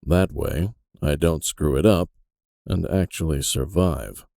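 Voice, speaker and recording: An actor playing an aging, sardonic and resigned detective in a fantasy audio drama, with a gravelly voice and a dry, weary, halting delivery. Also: male voice, extremely low pitch, warm tone, dry recording, full character voice. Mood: bitter resignation. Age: older.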